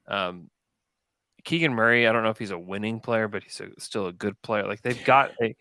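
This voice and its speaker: A man's voice: on the low side, brisk, sharp tone, no room sound. A man hosting a sports podcast, arguing his points emphatically in a casual debate.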